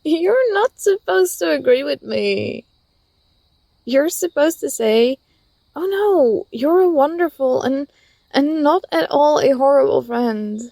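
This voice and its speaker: A woman acting as an affectionate young student in an intimate ASMR roleplay. Her voice is fairly high and unhurried, with a creaky edge. She speaks gently and playfully, coaxing and teasing a close friend. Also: expressive, dry recording, full character voice.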